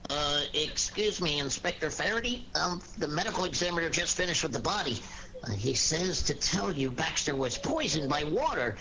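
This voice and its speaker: An actor playing a hesitant, respectful police subordinate in a 1940s radio drama. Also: male voice, on the high side, expressive, moderate pace, background noise. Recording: 1940s radio, loud crowd murmur.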